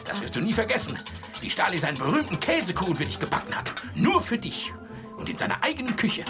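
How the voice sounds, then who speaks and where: A bossy, boastful Soviet dictator, swooping voice. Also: male voice, high voice, sing-song, fast, music in the background. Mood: boastful, coaxing. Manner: commanding, exclaiming, condescending. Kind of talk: radio drama acting.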